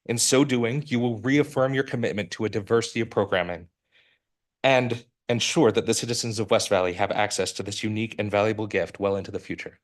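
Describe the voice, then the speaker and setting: A man's voice: lowish voice, steady tone, a little fast. A man in his forties from Utah, giving a prepared public comment to a city council in an earnest, formal and persuasive way, with a few restarts, into a podium microphone in a council chamber. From Utah, United States. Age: 40s.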